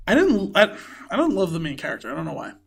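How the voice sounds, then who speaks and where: A man co-hosting a podcast, chatting casually about films in an animated way, often saying "like". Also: sits high, quick pace, gravelly, husky. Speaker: podcast co-host. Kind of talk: casual film review chat.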